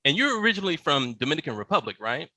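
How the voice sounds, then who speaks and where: A man's voice: fairly high pitch, very animated, rapid-fire, bright, forceful tone, dry recording. A man hosting a podcast, welcoming his guest and asking short, encouraging questions. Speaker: podcast host. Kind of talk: interview hosting.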